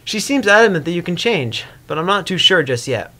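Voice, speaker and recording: A man plays a patient pony queen from a fantasy story, pitched high. She speaks earnestly and persuasively, with gentle firmness and lively rises and falls. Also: a little fast, full character voice. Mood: concerned. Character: Celestia, female pony ruler, earnest, patient, gently firm. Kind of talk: dramatic fan fiction reading.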